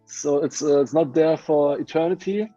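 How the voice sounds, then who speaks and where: A man from Hungary speaks with a Hungarian accent, pausing and restarting often as he hunts for the right word. His voice is mellow and dark, recorded dry, with slightly dull, lo-fi sound from a remote call. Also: a little high, no echo, faint music behind. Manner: halting, searching for words, many restarts. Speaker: guest muralist. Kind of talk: casual interview chat. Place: home, remote video call.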